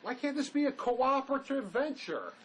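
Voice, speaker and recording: An actor playing a guarded, stubbornly protective fugitive in a drama, with a terse, questioning way of talking. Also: male voice, very high-pitched, very animated, moderate pace, slightly rough, full character voice. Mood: defensive. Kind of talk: dramatic dialogue reading.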